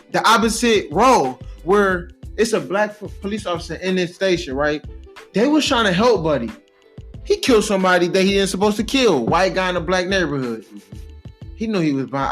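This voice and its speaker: A high-voiced American man talks casually on a podcast. He tells things in an animated, slangy way among friends, with faint music behind him. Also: fairly quick. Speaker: podcast co-host.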